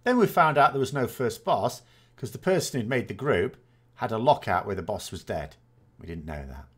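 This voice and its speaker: Guy in his 40s, lowish voice, rambly gaming chat, keeps correcting himself, dry jokes at his own expense. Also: expressive, normal speed, a bit bright, husky. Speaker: gaming channel host. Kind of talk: casual solo chat answering viewer questions.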